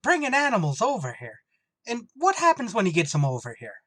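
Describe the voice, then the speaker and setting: An actor playing a stubborn, dim-witted policeman with deadpan comebacks in an old-time radio comedy. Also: mid-range voice, fairly quick, no room sound. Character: dim-witted adult male beat cop.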